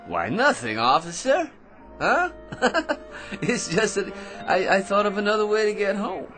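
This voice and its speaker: Cocky, animated American chatterbox with crackpot schemes. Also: male voice, high-pitched, measured pace, full character voice. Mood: nervous, smug. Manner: rambling, smug, stammering excitedly, pestering with tag questions. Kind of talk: radio drama acting. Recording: radio drama with music underneath.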